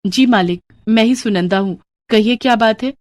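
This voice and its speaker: A woman's voice: a little high, normal speed, lightly acted. A woman voicing a poor, hardworking young woman who sells momos, speaking earnestly and politely in a cartoon story.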